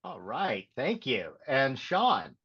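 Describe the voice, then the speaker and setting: A man's voice: mid-range voice, wildly swooping pitch, takes it slowly, husky. A man hosting a livestreamed panel in a casual way over a video call. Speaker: panel host. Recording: dull, lo-fi call audio.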